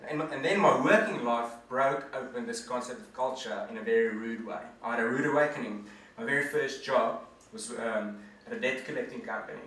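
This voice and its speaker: A man's voice: mid-range voice, fairly quick, fairly bright tone. A man in his forties gives an earnest, conversational presentation to an audience as a guest speaker. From South Africa. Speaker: guest speaker, management consultant. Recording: echoey hall from far off.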